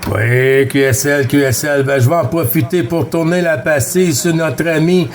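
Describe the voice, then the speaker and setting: High-voiced Quebec man on CB radio. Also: moderate pace, a little hiss or hum. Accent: Quebec French. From Quebec, Canada. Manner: courteous, repeats words and callsigns. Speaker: amateur CB radio operator running a contact round. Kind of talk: CB radio contact chat, callsigns spelled out.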